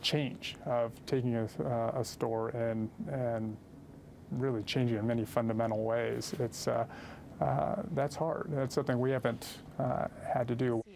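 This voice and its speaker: An American man, a company president, giving unscripted interview answers in a hesitant, halting way. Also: medium pitch, level tone, gravelly, darkish tone, a little hiss or hum.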